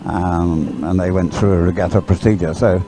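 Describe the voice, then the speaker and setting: A man's voice: deep voice, steady tone, moderate pace, noisy background. A man giving unscripted, chatty live race commentary as an expert, explaining things hesitantly with repeated words. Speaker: sports co-commentator, expert analyst.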